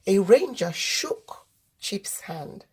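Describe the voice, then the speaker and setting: A woman reading a children's story aloud as host, in deliberate, expressive narration. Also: medium pitch, breathy edge.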